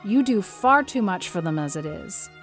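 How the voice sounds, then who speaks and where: A woman playing a stern, practical older woman guardian, brisk and matter-of-fact, in an audiobook drama. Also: medium pitch, animated, faint music behind, close to an everyday voice. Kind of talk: audiobook drama character reading.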